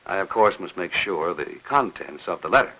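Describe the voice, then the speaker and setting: An older American postal official in a 1950s film. His voice is deep and bright-edged, his manner measured, formal and bound by rules. A light hiss runs under the old soundtrack. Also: lively intonation, heavily acted, poor audio. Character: courteous, unhurried, rule-bound older post office official. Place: office set.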